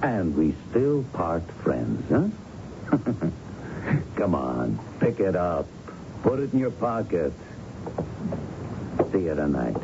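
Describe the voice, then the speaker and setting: Deep-voiced, swooping gangland fixer. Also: male voice, very animated, slow pace, creaky, pauses often, exaggerated voice. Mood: coaxing. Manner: glib, wheedling, swaggering. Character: smooth, cocky male gangland fixer, persuasive and slangy. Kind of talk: radio drama acting. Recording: muffled, noisy old radio broadcast.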